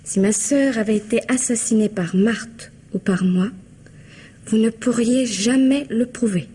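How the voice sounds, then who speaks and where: A woman's voice: fairly high pitch, a little slow, warm tone, husky. An actress playing a cold, bitter, defiant young lady in a French radio mystery, with curt, taunting delivery.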